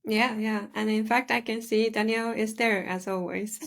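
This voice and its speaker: A nervous woman co-hosts a live podcast with a slightly high voice. She speaks softly and a little hesitantly. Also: steady tone, moderate pace, creaky. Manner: soft-spoken, a little nervous. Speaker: podcast co-host. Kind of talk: casual live-stream chat.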